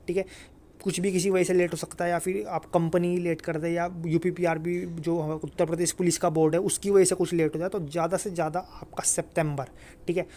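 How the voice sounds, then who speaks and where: A man's voice: a little high, a little mellow. Man giving informal exam advice. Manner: repetitive, emphatic assurances, frequent check-in tags. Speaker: exam guidance video host. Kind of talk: informal advice talk to students.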